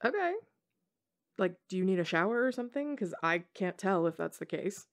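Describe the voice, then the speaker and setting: Casting: a woman to play a college-age tomboy gamer girl for intimate ASMR roleplay; teasing, slangy, casual, with noticeable vocal fry. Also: mid-range voice, animated, fairly quick, lightly acted. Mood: playful, relaxed. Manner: casual, slangy, teasing, frequent chuckles and hesitations.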